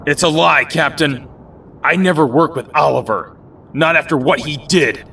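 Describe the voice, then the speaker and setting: A man playing an older, hot-headed and distrustful rebel soldier in an audio drama, gruff and blunt. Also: on the high side, moderate pace, pushed and bright, a little hiss or hum. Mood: angry, distrustful.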